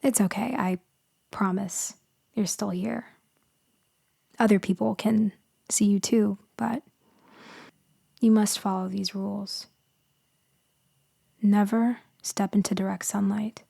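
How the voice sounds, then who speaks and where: Woman acting gentle female vampire, ASMR roleplay, breathy whispers, faint creak, close dry sound, reassuring, hesitant. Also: medium pitch, fairly even intonation, no room sound, heavily acted. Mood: gentle, explanatory. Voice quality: soft and breathy, often dropping to a whisper. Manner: tender, slow, reassuring, hesitant pauses. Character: gentle female vampire soothing someone she saved.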